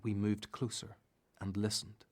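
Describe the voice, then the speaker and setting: A man's voice: lowish voice, little pitch movement, unhurried. An actor playing a reflective disciple who narrates his story in first person for a radio play.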